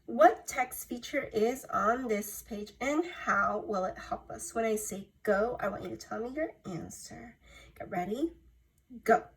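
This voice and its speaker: A woman's voice: fairly high pitch, measured pace, vocal fry, low-quality recording. A woman teacher reading aloud to young children, encouraging them and prompting with questions and cues. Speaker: elementary school teacher.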